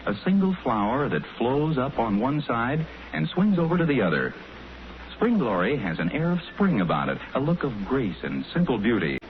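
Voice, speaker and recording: An American man working as a folksy 1940s radio announcer, delivering commentary and sponsor pitches. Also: very animated, moderate pace, a little hiss or hum. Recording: thin, phone-like 1940s radio broadcast.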